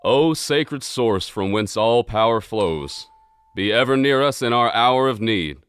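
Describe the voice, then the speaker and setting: Man doing a caring Southern father, somber baritone, syrupy twang, smooth resonant tone. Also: lively intonation, a little slow, a bit bright. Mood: prayerful. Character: tall brooding father, gracious and caring. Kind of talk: audio drama acting.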